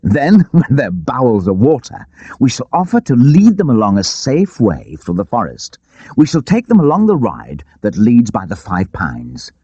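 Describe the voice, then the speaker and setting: A male narrator voices a bold male outlaw chief in a storybook adventure, pitched well up from a low register and swooping widely. He is gleeful and commanding, rallying his men with declamatory vigour. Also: wildly swooping pitch, moderate pace, mellow, slightly rough, dry recording, muffled recording. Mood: rousing, commanding.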